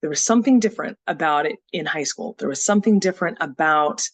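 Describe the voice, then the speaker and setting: A speaker from Texas telling a personal story in a motivational talk, earnest and reflective, often pausing on "you know" and "uh". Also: medium pitch, lively intonation, no echo. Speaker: summit speaker.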